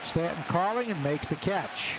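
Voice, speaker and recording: A man doing live radio baseball play-by-play, calling the action in clipped phrases between pauses. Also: on the high side, lively intonation. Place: open broadcast booth at outdoor ballpark. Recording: noisy outdoor ballpark broadcast. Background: loud stadium ambience.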